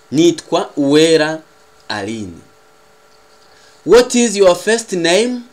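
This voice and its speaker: A man with a Rwandan accent, a native Kinyarwanda speaker, teaching a language lesson in a deliberate, carefully enunciated way as he repeats phrases. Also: fairly high pitch. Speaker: English teacher.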